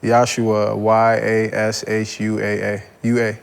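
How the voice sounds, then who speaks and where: A man's voice: lowish voice, slow, creaky, smooth. A man in his thirties from Brooklyn, a dating show contestant talking casually and a little nervously. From Brooklyn, New York. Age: 30s. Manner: relaxed, slightly nervous. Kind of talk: casual chat.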